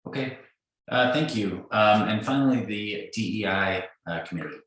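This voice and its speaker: Deep-voiced man chairing a meeting. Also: normal speed. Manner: polite, steady with fillers. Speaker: meeting host. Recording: echoey room on a call.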